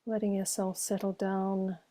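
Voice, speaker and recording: Woman, meditation teacher, mellow relaxed tone, even pitch, gentle soothing delivery, long pauses. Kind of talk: guided meditation.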